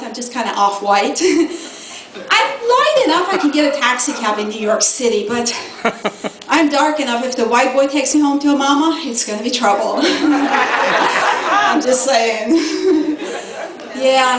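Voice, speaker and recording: A woman's voice: high-pitched, a bit bright, a little hiss or hum. A middle-aged woman from Iran doing a stand-up comedy set, sassy and laughing at her own jokes. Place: comedy club stage. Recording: echoey club from far off. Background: audience laughter.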